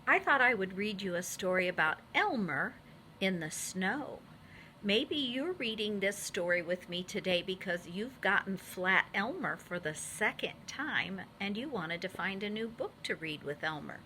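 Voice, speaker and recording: Female preschool teacher for picture-book reading: slowish, lively intonation, expressive, addressing small children. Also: medium pitch, slight background noise, poor audio. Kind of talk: children's storybook narration.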